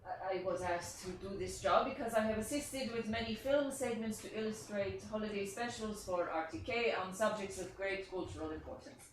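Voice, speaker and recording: A woman's voice: mid-range voice, normal speed, few pauses, lightly acted. A woman playing a reserved, firm Serbian former professor in a staged play reading, with terse, hesitant phrasing. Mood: stiff, formal. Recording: very echoey, distant, faintly noisy recording.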